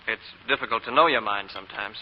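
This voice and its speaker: An actor playing a sober, weary young Southern banker, restrained and measured, in 1940s radio drama style. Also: male voice, on the low side, big-room sound, lightly acted. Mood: subdued. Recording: muffled, hissy old radio broadcast.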